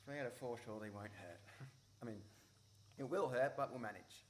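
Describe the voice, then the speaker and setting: Man acting halting young Australian guy, noisy recording. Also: mid-range voice, natural voice. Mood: concerned, practical. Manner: halting, self-correcting. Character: awkward, caring young man, exasperated but loyal friend. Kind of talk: naturalistic radio play acting. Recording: noisy live radio play recording.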